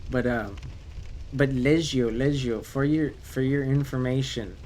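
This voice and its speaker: A man's voice: medium pitch, unhurried, darkish tone, slight background noise. A man whose first language is French, streaming a game live and chatting casually with viewers, breaking into swearing and sudden exclamations. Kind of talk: casual live gameplay commentary.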